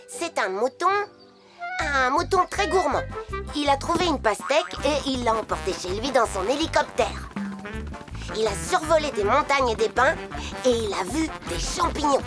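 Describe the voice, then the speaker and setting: High, animated little storyteller. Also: female voice, normal speed. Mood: confident, playful. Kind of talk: French children's cartoon dub. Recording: music behind the voice.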